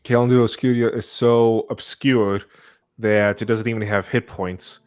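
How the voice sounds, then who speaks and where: A man's voice: on the low side, fairly even intonation, a little slow, darkish tone, clear, resonant tone. A man chatting casually as a panelist on a podcast about games.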